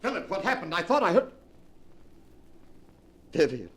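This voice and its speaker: An actor playing a calculating, persuasive brother-in-law in a 1940s radio crime drama, with a controlling, theatrical manner. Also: male voice, high-pitched, sing-song, a little slow, fairly bright tone, breathy edge, full character voice. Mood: grave alarm. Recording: noisy vintage 1940s radio broadcast.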